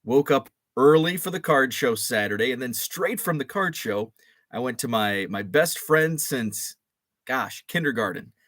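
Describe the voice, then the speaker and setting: A man's voice: mid-range voice, expressive, unhurried, fairly bright tone, dry recording, clean studio sound. Groggy middle-aged man hosting a chat show. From Illinois. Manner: rambling, with many fillers and restarts. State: a bit groggy and deeper-voiced after a late night. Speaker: host. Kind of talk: casual live-show chat.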